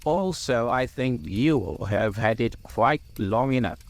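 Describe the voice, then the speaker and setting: A man voicing a grave old male wizard in a storybook, stern and patient, with a quiet, measured manner. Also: medium pitch, animated. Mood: grave, reasoning.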